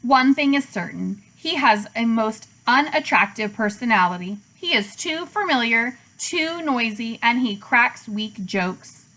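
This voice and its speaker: A clever, aging female spider from a storybook voiced by a woman reader. She speaks in a measured, polite and candid way, with dry wit and a fairly high voice. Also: animated, sharp tone, heavily acted, rough recording.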